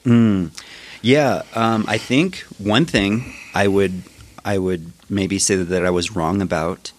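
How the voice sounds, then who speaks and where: A man's voice: deep voice, slow pace. A man in his thirties, a musician guest on a podcast, talking thoughtfully and hesitantly. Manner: thoughtful, hesitant, many fillers and restarts. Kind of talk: casual interview chat.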